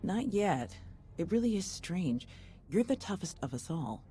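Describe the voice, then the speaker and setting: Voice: medium pitch, big pitch swings, unhurried, dark tone, faint music behind. An actor voicing an easygoing, supportive soldierly companion in a video game, casual and conversational. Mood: relaxed.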